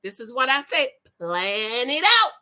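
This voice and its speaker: Loud, excitable woman with a high, bright voice. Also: a little slow. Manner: animated and preachy, with emphatic repetition and Pentecostal preacher energy. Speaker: YouTube commentator on money and marriage. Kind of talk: casual commentary reading and reacting to a news story.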